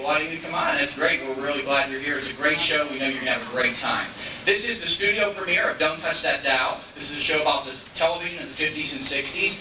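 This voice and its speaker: A man giving an informal welcome address as host of a stage show, recorded from afar in an echoey hall. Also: fairly high pitch. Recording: echoey hall, distant mic, hissy videotape.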